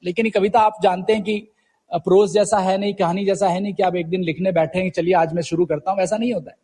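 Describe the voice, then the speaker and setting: A man in his forties from Uttarakhand with a husky voice, telling his story conversationally as an interview guest, mixing in English words. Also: sits high, fairly even intonation, fast talker, warm tone, big-room sound. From Uttarakhand, India. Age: 40s. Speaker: guest poet.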